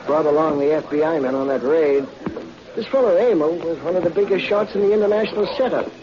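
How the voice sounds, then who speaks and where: An American actor playing a brisk, folksy male police lieutenant in a 1940s radio drama. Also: on the high side, lightly acted. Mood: friendly. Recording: dull old radio recording, noisy background.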